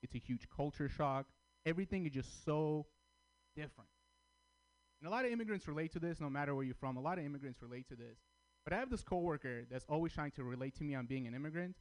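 A man from Armenia, raised in Russia, performing a stand-up comedy set with crude, deadpan punchlines. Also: on the high side, fast, poor audio. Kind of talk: stand-up routine at open mic.

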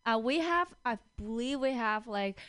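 A woman's voice: a little high, lively intonation, normal speed, a bit bright, smooth, no room sound. Chatty woman host from China. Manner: laughs often, many fillers. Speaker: podcast host. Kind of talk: casual chat. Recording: dry radio booth.

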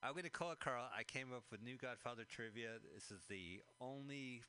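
A man's voice: medium pitch, moderate pace, sharp tone, smooth. A man co-hosting a radio show, chatting casually and jokily about a film he is watching. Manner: jokey, rambling, chuckling asides. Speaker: radio co-host. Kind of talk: casual movie commentary chat.

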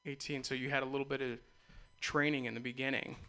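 Bright-voiced male radio host. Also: mid-range voice, level tone, fast talker, clear, resonant tone. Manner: loose, rambling, laughs easily. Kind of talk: casual interview chat.

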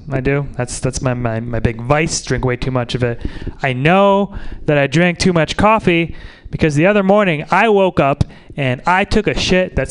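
A man's voice: a little high, clear, resonant tone. A man doing a loose, crude stand-up set at an open mic. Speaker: stand-up comedian.

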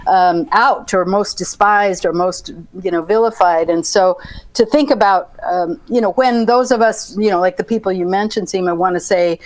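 Mid-pitched woman explaining in conversation. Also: normal speed, low-quality recording. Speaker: guest researcher.

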